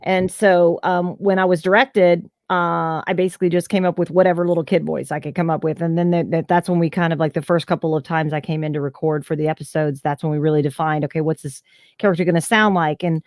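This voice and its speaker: A woman's voice: low voice, fairly even intonation, quick pace, darkish tone, no room sound, clean studio sound. A woman voice actor, telling stories in a casual interview over a video call. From Southern United States. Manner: chatty, storytelling, lots of fillers. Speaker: voice actor guest.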